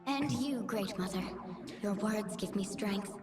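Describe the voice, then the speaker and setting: Game role: gentle, earnest mermaid tide caller, soothing, watery effect, reverberant, music behind. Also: female voice, mid-range voice, measured pace. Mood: warm. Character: calm, hopeful young female mermaid-like tide caller. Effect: watery shimmer. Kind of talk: video game character voice lines.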